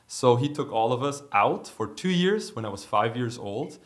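German-accented man telling lively stories. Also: mid-range voice, normal speed. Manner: animated storyteller, laughs easily. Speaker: guest, company president. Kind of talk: casual interview talk.

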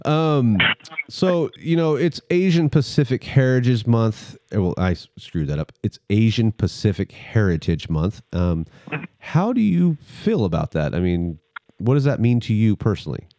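A man hosts a beer-tasting podcast in an unhurried, easygoing way. His voice sits low with a mellow, warm tone. The sound is dry and close to the mic. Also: expressive, no echo. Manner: easygoing, prompting guests with questions. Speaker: podcast host. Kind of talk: casual beer-tasting chat.

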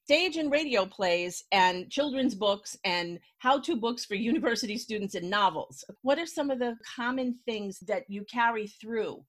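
A woman's voice: on the high side, animated, moderate pace, hardly any pauses. A woman hosting a conversational interview, explaining things in an encouraging, chatty way. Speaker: podcast host, writer.

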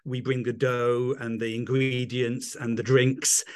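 A man's voice: medium pitch, flat delivery, measured pace, clean tone. Middle-aged English man, upbeat interview guest, chatty and full of restarts, calling in remotely. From England. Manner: enthusiastic, rambling with restarts. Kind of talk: casual interview chat.

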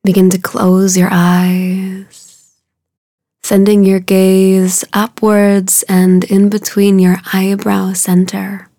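A woman guiding a meditation in a soothing, hushed way, soft and breathy at the ends of phrases, with unhurried, drawn-out phrasing. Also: mid-range voice, monotone, dry recording, clean studio sound. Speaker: meditation guide. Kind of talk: guided meditation.

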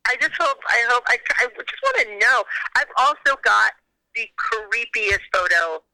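A woman on a phone call tells her story in an animated way and plays out her own reactions. Her voice is high and strikingly bright. The line sounds dry and close. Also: unhurried, no room sound. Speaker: guest.

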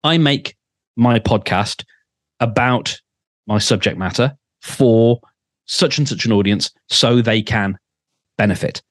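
A man's voice: medium pitch, slow pace, pauses often, no echo. A man, a podcast mentor, explaining thoughtfully with many fillers. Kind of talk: advice in a podcast conversation.

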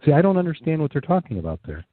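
A man's voice: on the high side, big pitch swings, rapid-fire, no room sound. A man co-hosting a casual talk show, easygoing and a little hesitant as he reads news items aloud. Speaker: co-host reading news items. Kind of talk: casual discussion and reading articles aloud. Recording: dry, tinny phone-like sound.

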